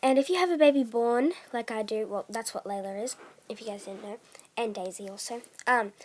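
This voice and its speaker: A teenage girl chatting casually in a vlog, soft-spoken, with many fillers, and often trailing off into a whisper. She has a bad cough. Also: mid-range voice. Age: teens. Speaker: doll collector vlogger. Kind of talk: casual chat.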